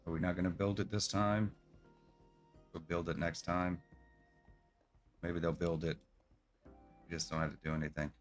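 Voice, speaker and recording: A man's voice: sits low, flat delivery, normal speed, pauses often, soft background music. A man streaming a video game, reading quest text aloud and adding casual comments.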